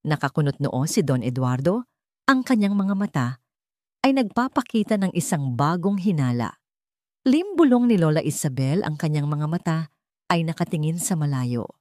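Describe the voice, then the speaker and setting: A woman's voice: deep voice, very animated, normal speed, a little mellow, no room sound, clean studio sound. A woman with a Filipino accent reading a scripted dramatic audio story as its storyteller.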